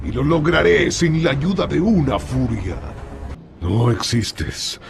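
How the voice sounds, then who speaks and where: An actor playing a grim, defiant Spartan warrior in a video game dub. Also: male voice, mid-range voice, very animated, slow, creaky, full character voice.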